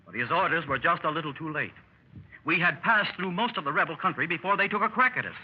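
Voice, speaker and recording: A man's voice: fairly high pitch, animated, fast talker, husky. An actor playing a dashing lieutenant adventurer telling his escapade with old-fashioned exclamations, in 1930s radio style. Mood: jaunty recounting. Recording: muffled 1930s radio recording with music.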